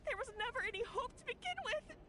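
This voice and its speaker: A woman's voice: extremely high pitch, lively intonation, quick pace, background noise. An actress playing a weary, despairing fugitive woman in a video game, bitter and worn down. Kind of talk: dramatic video game voice acting.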